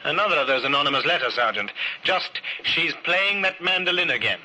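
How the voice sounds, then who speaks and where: An actor in an old radio drama playing a shrewd, courteous police inspector who questions in a measured, drily sceptical way. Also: male voice, fairly high pitch, expressive, exaggerated voice, low-quality recording. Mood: dryly sceptical. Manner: measured, probing, dry understatement. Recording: hissy, sharp old radio recording.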